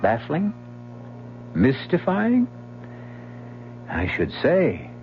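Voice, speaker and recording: A man narrating as host of a radio mystery show, slow and teasing with dramatic pauses. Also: fairly high pitch, wildly swooping pitch, slight background noise. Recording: dull old radio broadcast with faint music.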